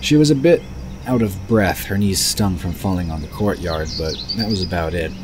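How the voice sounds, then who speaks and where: A man with a deep, low voice narrates a scripted fantasy audio drama. He tells the story in the third person, with birds and crickets clearly audible behind his voice. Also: moderate pace. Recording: background noise of birds and crickets.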